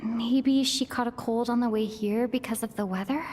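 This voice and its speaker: High-voiced, caring young woman, played by an actress. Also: steady tone, normal speed, a little mellow, long reverb tail, faint music behind, close to an everyday voice. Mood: concerned. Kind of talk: video game voice acting.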